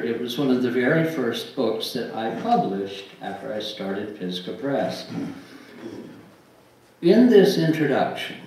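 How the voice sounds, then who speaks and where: An older man reads aloud from a church pulpit at a slow, measured pace, pausing between phrases. His mid-pitched voice rings heavily in the room. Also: a little mellow. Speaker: lay reader and book publisher. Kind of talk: reading aloud at a church service. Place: church sanctuary, at a wooden pulpit. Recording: very echoey room, distant mic, faint noise.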